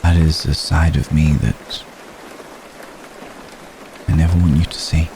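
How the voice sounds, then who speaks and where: An ancient vampire gentleman, played by a man, speaks in a very deep voice at an unhurried, lingering pace. He is gentle, protective and formal, pausing hesitantly as in an intimate ASMR roleplay. Steady rain is clearly heard behind him. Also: a little hiss or hum, poor audio. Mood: earnest, confiding. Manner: hesitant, measured, formal phrasing with long pauses. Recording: close recording with steady rain ambience.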